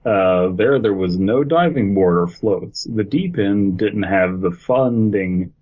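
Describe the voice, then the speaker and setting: A man's voice: fairly low pitch, slow, slightly rough. A man co-hosting a podcast, reading a story aloud with wry, joking asides. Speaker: podcast co-host. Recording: slightly dull, lo-fi audio.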